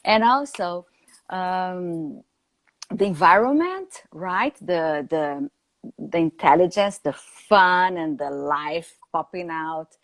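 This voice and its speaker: A woman with a Brazilian accent hosts a live chat over a phone. Her voice is fairly low and the sound is dry and close. She speaks in friendly bursts, often asking "right?" and pausing with fillers. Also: expressive, no echo. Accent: Brazilian Portuguese. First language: Portuguese. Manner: warm, tags "right?", hesitant fillers. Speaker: host.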